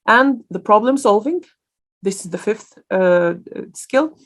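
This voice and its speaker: A woman's voice: medium pitch, lively intonation, slow pace. Woman lecturing online, lots of uhs and restarts, easygoing q&a tone. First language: Arabic. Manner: informal and hesitant, many fillers and restarts.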